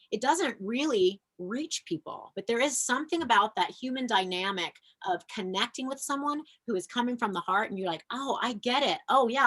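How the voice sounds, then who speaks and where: A woman musician talking earnestly in a relaxed conversation, reflective, with frequent fillers and restarts. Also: mid-range voice. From American. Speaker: folk punk musician and music educator. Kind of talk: casual conversation.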